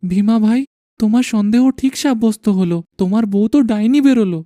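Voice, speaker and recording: An actor playing a bold, loyal village man in an animated folk tale, with a conspiratorial, urging manner. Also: medium pitch, a little fast, no echo, clean studio sound, natural voice. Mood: urgent.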